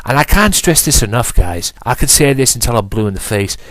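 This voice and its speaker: Male podcast host with a cold, sniffling, casual and emphatic, mid-pitched. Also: big pitch swings, a little fast, fairly bright tone, dry recording. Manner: emphatic, repetitive, casual swearing. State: has a cold, sniffling and blocked nose. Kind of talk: solo wrestling podcast commentary.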